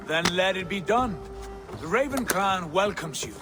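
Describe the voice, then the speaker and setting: Lively high-pitched Viking jarl. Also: male voice, measured pace, music in the background. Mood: commanding, welcoming. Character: confident Viking jarl, commanding and welcoming. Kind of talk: video game cutscene acting.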